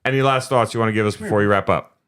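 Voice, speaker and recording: A man's voice: lowish voice, very fast, fairly bright tone, clean tone. A man co-hosting a sports podcast, chatting casually and joking with laughs. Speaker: podcast co-host.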